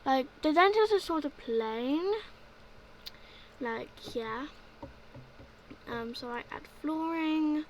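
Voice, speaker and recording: A woman's voice: high-pitched, expressive, slow pace, pauses often, a little hiss or hum, low-quality recording. A young woman narrating her gameplay in a casual voice-over, rambling and filling gaps with "like, yeah". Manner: rambling, filler-heavy, repeats "like, yeah". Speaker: gaming video creator.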